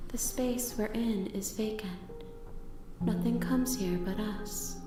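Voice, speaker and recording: A woman playing a solemn, tender otherworldly being in a visual novel game, speaking slowly and poetically. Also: medium pitch, fairly even intonation, close to an everyday voice. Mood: solemn, gentle. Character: solemn, tender otherworldly entity of the long quiet. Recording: distant, heavily echoing, music underneath.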